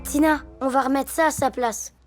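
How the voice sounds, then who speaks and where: Woman doing little hippo boy from a kids cartoon, high voice, careful n earnest, music under it. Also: fairly even intonation, normal speed, close to an everyday voice. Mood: cautious, worried. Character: sensible, cautious young boy hippo. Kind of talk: French children's cartoon acting.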